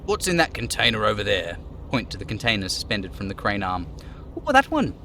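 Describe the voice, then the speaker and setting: A man's voice: on the low side, expressive, brisk, pushed and bright, a little hiss or hum. A man streaming a game, giving a live dramatic reading of its narration and dialogue. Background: faint music.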